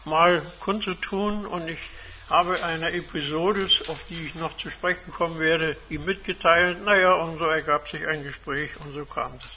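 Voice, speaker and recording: A man of seventy-five giving a measured, formal autobiographical talk to a seminar as a guest speaker. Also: on the high side, fairly even intonation, pushed and bright, slight background noise. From Germany. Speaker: guest speaker, retired engineer and academic. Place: seminar room.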